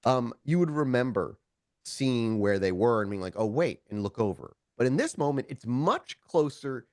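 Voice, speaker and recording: Male game master, informal storyteller, very lively intonation, dry close sound. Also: medium pitch, normal speed, no echo. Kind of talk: narrating a tabletop roleplaying game, conversational.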